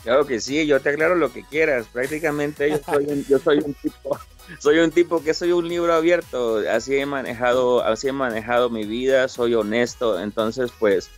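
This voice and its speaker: Guest spot: young Mexican man, relaxed and open, fillers and restarts, mid pitch, faint music underneath. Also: moderate pace. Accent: Mexican Spanish. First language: Italian-born, raised in Mexico. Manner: friendly, repeats words, frequent fillers. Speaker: interview guest, top game player. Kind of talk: casual interview answers.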